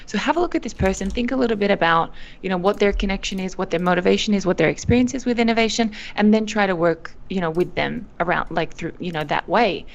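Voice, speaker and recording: A young woman from Australia answering interview questions, animated, with frequent fillers and restarts. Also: medium pitch, quick pace, gravelly, hardly any pauses, highs cut off. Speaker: guest, company founder. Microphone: video call.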